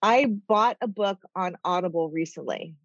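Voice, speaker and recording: A woman with a nasal voice talks in a rambling, chatty way, sitting a bit low in her range. The sound is dry and slightly dull, with no room around it. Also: normal speed, dry recording. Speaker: author and executive guest. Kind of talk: casual interview chat.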